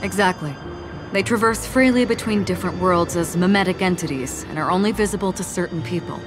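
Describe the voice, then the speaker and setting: A woman's voice: fairly low pitch, brisk, music in the background, natural voice. A woman playing a courteous, composed and mysterious lady with formal phrasing in a video game. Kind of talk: video game dialogue acting.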